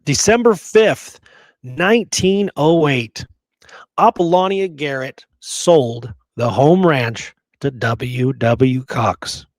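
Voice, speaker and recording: Male podcast host, slightly low voice, dry close sound, casual history talk, colloquial, emphatic, frequent check-in questions. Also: no room sound.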